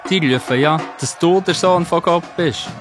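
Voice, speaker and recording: A man's voice: on the high side, moderate pace, lightly acted. A man playing a stern, indignant Pharisee who scolds in a children's Bible audio drama. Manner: reproachful, scolding. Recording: music under the voice.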